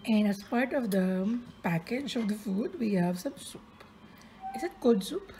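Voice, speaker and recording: A woman's voice: medium pitch, animated, a little slow, mellow, slight background noise, rough recording. A woman filming a casual restaurant vlog on a handheld phone, chatty and laughing often. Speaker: vlogger. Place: busy restaurant indoors. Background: crowd chatter.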